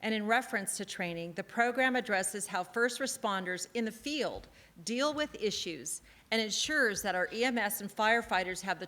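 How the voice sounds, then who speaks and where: A woman on the town council stands at a podium in a theatre and delivers a prepared award speech. Her voice sits at an ordinary middle pitch, and she speaks in an earnest, emphatic, measured way, leaning on key phrases. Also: a bit bright. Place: theatre stage at an awards ceremony.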